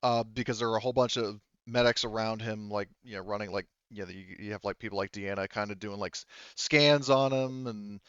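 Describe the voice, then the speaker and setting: A man with a fairly low, clean voice acts as game master. He describes scenes off the cuff, halting and rambling as he goes. The sound is close and dry, a little muffled. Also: fairly even intonation, a bit bright, smooth, no echo. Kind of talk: casual improvised scene description for a tabletop game.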